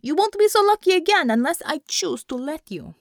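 A woman's voice: high voice, expressive, brisk, dry recording, studio quality, pitched above the performer's own voice. A woman voicing a brash, commanding Russian woman commissar in an audiobook, with a Russian accent and blunt, non-native English phrasing. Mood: irritated admiration.

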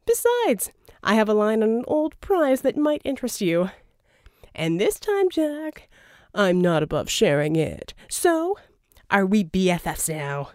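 A flamboyant male audiobook villain, acted by a woman, smug and slightly unhinged. The delivery is loud and theatrical, leaping around in pitch. Every phrase is pompous and coaxing, in a dry, close recording. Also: very animated, measured pace, no echo. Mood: gleeful, coaxing. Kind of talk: audiobook character dialogue.

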